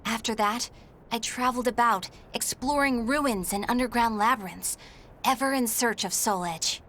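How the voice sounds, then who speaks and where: A woman's voice: on the high side, normal speed, fairly bright tone, slight background noise, natural voice. A woman playing a feisty, stubborn young warrior in a video game story, speaking with a spirited, defiant manner. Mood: reflective narration. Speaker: protagonist and narrator of game story.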